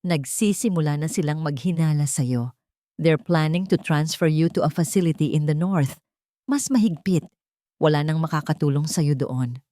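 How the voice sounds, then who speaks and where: A woman voicing a grave, urgent male rescuer in an audio drama, speaking in a hushed, serious way. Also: fairly high pitch, normal speed, no echo, studio quality, close to an everyday voice. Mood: urgent, alarmed.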